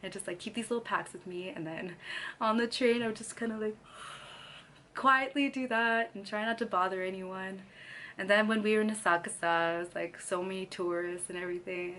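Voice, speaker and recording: A woman's voice: medium pitch, a bit bright. A young woman from Canada chatting casually to camera, laughing often between sentences, her throat still a little scratchy. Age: young adult. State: slightly scratchy throat after losing her voice. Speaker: vlogger.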